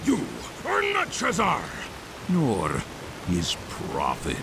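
A man voicing a scornful, wrathful spirit in a fantasy game, gravelly, slow and dramatic. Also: medium pitch, huge pitch swings, background noise, exaggerated voice. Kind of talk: scripted fantasy game villainy.

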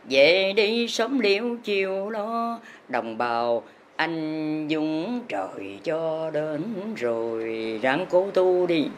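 A woman, a religious teacher who delivers her sermon as drawn-out, sing-song chanted verse and urges her listeners on. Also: lowish voice, slight background noise.

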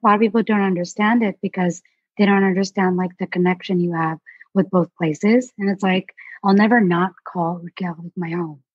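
A young woman from Alaska, an artist chatting as a guest in a relaxed, rambling way with many fillers. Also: fairly low pitch, normal speed, breathy edge, dry recording, dull audio. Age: young adult. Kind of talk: casual interview chat.

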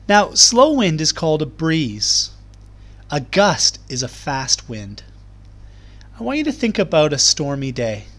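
A man reading aloud to young children as a teacher, explaining things in clear, simple terms. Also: on the high side, a bit bright. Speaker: primary school teacher. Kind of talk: children's read-aloud lesson.

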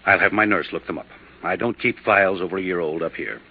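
Casting: guarded male doctor, American, deep voice, measured delivery, for muffled 1950s radio serial. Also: slight background noise. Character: defensive, guarded male small-city doctor. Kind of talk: 1950s radio drama acting. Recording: dull 1950s radio recording.

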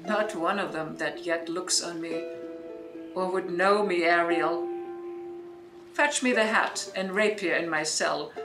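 A woman playing a grave, commanding sorceress and wronged duchess in a Shakespearean radio play, declaiming grandly and deliberately. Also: lowish voice, over music. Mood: stern, measured. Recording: roomy home recording made online.

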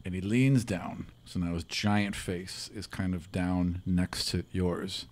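A man acting as game master, improvising narration at a table into a close mic, often halting and repeating words. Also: deep voice, steady tone, darkish tone. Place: room, group around a table. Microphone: table microphone on arm, close.

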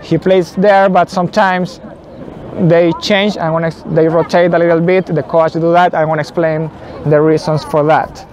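A man in his thirties whose first language is not English, slowly explaining football tactics at a whiteboard outdoors, often saying "okay". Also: fairly high pitch, level tone, darkish tone, some chatter behind. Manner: slow, deliberate, frequent "okay" fillers. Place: outdoors in a city square. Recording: faint outdoor city noise.

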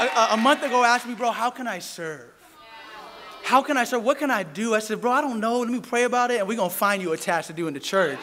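A man in his thirties preaching a sermon from a pulpit mic, animated and playful, bantering with the congregation. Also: high voice, very noisy. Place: church sanctuary, indoors. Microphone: stand mic at a pulpit. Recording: reverberant church hall with faint congregation.

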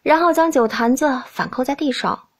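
Female audiobook narrator, high-pitched voice, expressive scripted storytelling. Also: normal speed.

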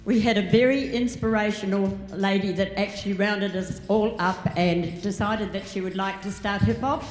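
A person in their eighties from Waiheke Island, a dance crew member answering interview questions plainly and a little haltingly on an auditorium stage. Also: medium pitch, steady tone. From Waiheke Island, New Zealand. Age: 80s. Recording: echoey hall from the stage.

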